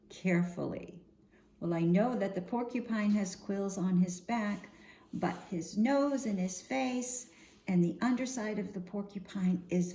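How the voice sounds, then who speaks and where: A woman reading a picture book aloud for young children in an expressive, singsong storytime manner, often posing questions to her listeners. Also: medium pitch. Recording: roomy echo, faint background noise.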